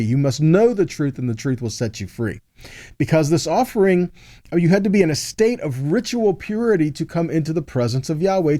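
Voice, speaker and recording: Guy doing a bible teaching, kinda higher voice but mellow, really dry close sound, repeats stuff to make the point. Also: no room sound. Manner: explanatory, checking in with short tag questions. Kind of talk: sermon teaching with scripture read aloud.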